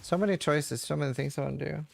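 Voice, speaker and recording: A man's voice: a little high, quick pace, gravelly. A man from Norway, casually chatting and thinking aloud while he streams a game and answers chat questions.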